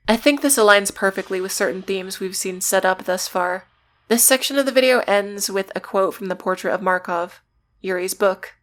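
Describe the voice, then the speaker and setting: A woman's voice: medium pitch, a bit bright. A woman narrating a scripted video essay as its presenter, with casual, conversational asides. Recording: music behind the voice. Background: background music.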